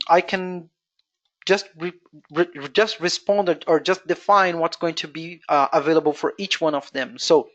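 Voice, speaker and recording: A Brazilian-accented presenter whose first language is Portuguese, walking through a software screen-share tutorial step by step and thinking aloud as they go. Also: mid-range voice. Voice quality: explains step by step, thinking aloud with frequent "you know". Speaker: webinar presenter.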